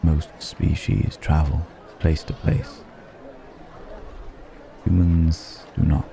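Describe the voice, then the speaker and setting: Man playing a very deep, gravelly ancient dragon stranger. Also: lively intonation, mellow, some chatter behind, slight background noise, heavily acted. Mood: musing, curious. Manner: musing, archaic formal phrasing, unhurried hums. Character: wry, curious ancient dragon passing as male stranger. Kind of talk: ASMR roleplay audio drama.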